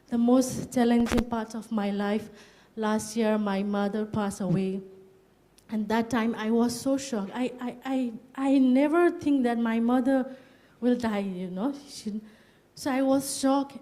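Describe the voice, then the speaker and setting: Soft-toned woman sharing her story. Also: on the high side. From Burma. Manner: halting, many fillers and "you know". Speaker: retreat participant. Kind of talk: personal testimony.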